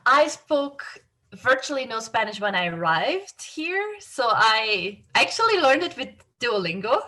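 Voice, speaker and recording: A woman in her thirties with a Flemish accent. She speaks English as a second language in a bright, fairly high voice. Her delivery is friendly and chatty, as if answering interview questions at ease. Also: sing-song, unhurried. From northern Belgium. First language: Flemish Dutch. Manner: friendly, chatty, with light laughs and fillers. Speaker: guest, civil engineering professor. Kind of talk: casual interview chat.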